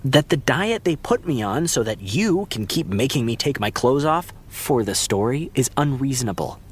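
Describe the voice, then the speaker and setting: A husky tv hunk, teasing and self-deprecating, really animated, natural audio drama chat. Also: male voice, medium pitch, normal speed. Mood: wry, joking. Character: wry, self-mocking, loyal male TV heartthrob actor. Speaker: actor in scripted fiction podcast.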